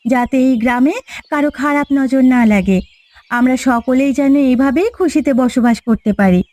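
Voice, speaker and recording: A woman voicing a contented, good-hearted farmer's wife in a cartoon fairy tale, earnest and gentle. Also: high voice, normal speed, lightly acted. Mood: contented, hopeful.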